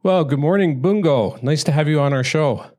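A man's voice: on the high side, moderate pace, warm tone. A man hosting a faith podcast interview, asking questions and giving encouraging replies. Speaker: podcast host.

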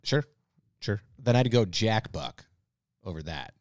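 A man's voice: lowish voice, lively intonation, measured pace, dry recording, clean studio sound. A man co-hosting a podcast in casual panel banter, with an informal delivery. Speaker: podcast co-host.

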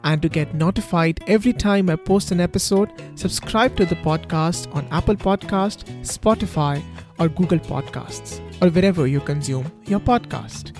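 A man's voice: on the high side, moderate pace, a little mellow, soft background music. A young man with an Indian accent, hosting a podcast and reading a scripted intro in a wry, conversational way. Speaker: podcast host.

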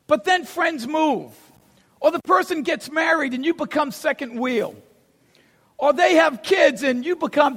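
High, gravelly preacher with a Boston accent. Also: male voice. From Boston, USA. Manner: animated storyteller, acts out gasps and quotes, shouts for emphasis. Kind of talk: sermon. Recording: long hall reverb.

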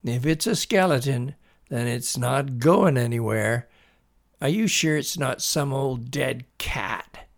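A man voicing a calm, sceptical father with a measured, drawling manner in a story. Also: medium pitch, gravelly. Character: calm, sceptical middle-aged father, reassuring and unhurried.

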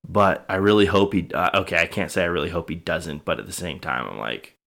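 Deep-voiced man thinking aloud on a podcast. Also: a little fast, creaky, fairly bright tone. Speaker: true crime podcast co-host. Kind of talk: casual reactive chat.

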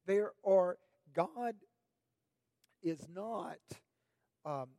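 A middle-aged man preaching a sermon as pastor, his voice raspy this morning, speaking in a measured, deliberate way and lifting his voice on questions. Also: high-pitched, dark tone, no room sound.

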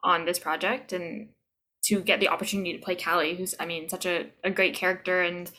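A woman's voice: lowish voice, flat delivery, brisk, sharp tone. A woman chatting casually as an interview guest, hesitant but effusive. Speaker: voice actor being interviewed.

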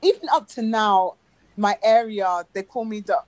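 British woman chatting in an interview, animated storyteller, dry close sound. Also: mid-range voice, normal speed, dry recording, low-quality recording. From England. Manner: lively storyteller, acts out quoted voices. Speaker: guest, animator. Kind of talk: casual interview chat.

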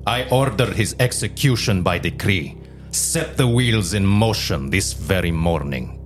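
A man's voice: sits low, expressive, soft background music. Man acting a stern Roman governor, dramatically swooping voice. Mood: coldly resolute. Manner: imperious, theatrical, deliberate. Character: stern pagan Roman governor, hostile to Christianity, imperious and angry. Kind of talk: dramatized religious audio drama.

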